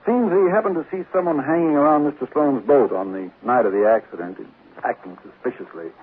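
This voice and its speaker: Actor as courteous sheriff, mid-pitched man, measured, probing, thin 1940s radio drama. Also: husky, close to an everyday voice. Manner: polite, measured, hesitant. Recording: old radio, narrow band, faint noise.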